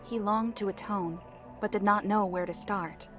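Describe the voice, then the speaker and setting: Woman as formal seer telling a tale, careful and composed, mid pitch, faint music behind. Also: fairly even intonation, normal speed, a bit bright, natural voice. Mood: grave storytelling. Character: composed, formal female seer recounting events. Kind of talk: visual-novel audio drama storytelling.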